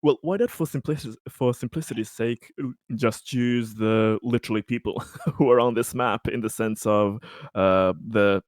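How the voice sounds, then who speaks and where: A man's voice: mid-range voice, moderate pace, few pauses, no echo, studio quality. A man playing a tabletop roleplaying game, bantering in character in a hesitant, politely wry way.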